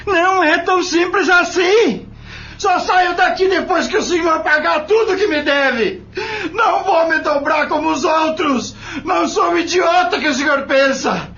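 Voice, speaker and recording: A man's voice: very high voice, unhurried, bright, forceful tone, shouting, heavily acted. A man playing a proud, wronged farm labourer in an audio soap opera, emotional and defiant, with rustic colloquial speech. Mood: furious. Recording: dull, lo-fi audio.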